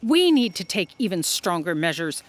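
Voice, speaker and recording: A woman's voice: fairly low pitch, very animated, normal speed. A woman playing an authoritative, exasperated office boss, decisive and officious, in a comic fantasy audio drama. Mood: exasperated resolve.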